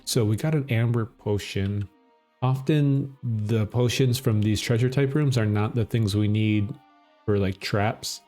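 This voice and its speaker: Middle-aged minnesota guy talking thru a game, low mellow voice, chill, bit of music behind. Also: unhurried. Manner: relaxed, explanatory, chuckles often. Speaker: gaming channel host. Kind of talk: casual gameplay commentary.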